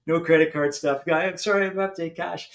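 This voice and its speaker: A man's voice: fairly high pitch, steady tone, fairly quick. Older Canadian man telling stories. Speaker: founder and guest. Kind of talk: storytelling in an interview.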